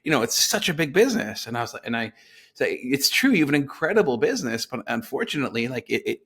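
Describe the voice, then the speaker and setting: A man's voice: mid-range voice, very animated, quick pace, fairly bright tone, breathy edge. A man in his late thirties chatting casually as an interview guest, repeating words for emphasis and voicing other people's lines. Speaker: interview guest, business broker. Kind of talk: casual business interview chat.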